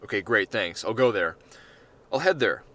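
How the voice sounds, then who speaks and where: A man's voice: fairly low pitch, sing-song, moderate pace, pushed and bright. Man playing an easygoing, slangy slacker guy. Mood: breezy. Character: laid-back, casual slacker young man, unbothered. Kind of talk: amateur short-story character reading.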